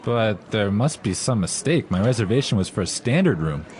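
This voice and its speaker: A man reading a hotel guest's lines in a scripted English lesson dialogue, speaking clearly and carefully. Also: on the low side, big pitch swings, normal speed, a little mellow, a little hiss or hum. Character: male hotel guest checking in. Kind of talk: scripted role-play dialogue.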